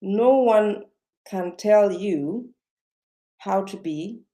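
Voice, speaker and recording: A woman's voice: mid-range voice, very slow, warm tone. A woman speaking English as a second language, talking to camera in an unscripted self-help video, hesitant with many fillers and restarts. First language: not English. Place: indoors, seated. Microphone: webcam or computer mic.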